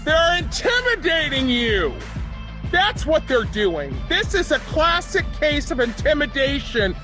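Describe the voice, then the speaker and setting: A woman ranting angrily like a street protester, indignant and shouting. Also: high-pitched, very animated, a little slow. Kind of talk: angry protest rant. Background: music.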